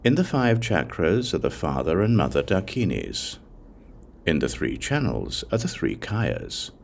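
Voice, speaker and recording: Deep, creaky-voiced man reading scripture. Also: wildly swooping pitch, a little hiss or hum. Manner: solemn, deliberate reciting with short measured phrases. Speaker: audiobook narrator. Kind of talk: scripted narration of a Buddhist scripture.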